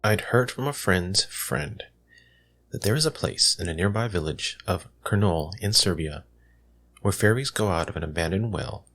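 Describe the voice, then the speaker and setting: A man's voice: low-pitched, expressive, normal speed, a bit bright. A man reading a scripted first-person horror story as a narrator. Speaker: reader of listener-submitted folklore stories.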